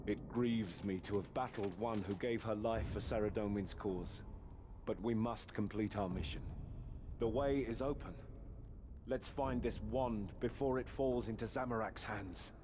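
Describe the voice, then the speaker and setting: A man's voice: on the low side, measured pace, noisy background, natural voice. A man voicing an earnest, noble knight in a video game, speaking in a solemn and formal manner. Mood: solemn resolve. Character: earnest, noble male knight on a holy quest.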